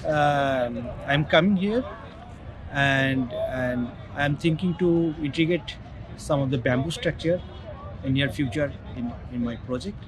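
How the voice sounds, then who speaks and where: A man from West Bengal, an architect talking haltingly with many fillers in an outdoor interview. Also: mid-range voice, crowd noise, poor audio. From West Bengal, India.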